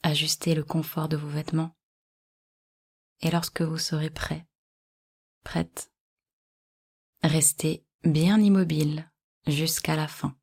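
A woman guiding a yoga nidra relaxation in calm, measured short instructions. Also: sits low, husky. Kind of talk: guided relaxation meditation.

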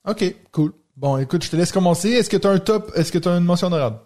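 Québécois guy hosting a chill podcast, mellow voice, talks quick. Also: high voice. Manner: relaxed, encouraging interviewer. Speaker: podcast host. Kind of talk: casual interview chat.

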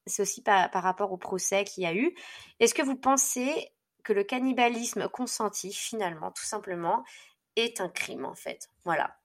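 A woman co-hosting a casual discussion podcast, presenting a case and arguing her points in a lively, direct way. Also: mid-range voice, moderate pace. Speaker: podcast co-host.

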